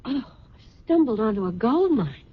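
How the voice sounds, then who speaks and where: An actress playing a bold, scheming middle-aged fashion designer in a radio drama, imperious and excitable, with theatrical breathy exclamations. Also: female voice, a little high, normal speed. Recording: dull old radio recording, music behind.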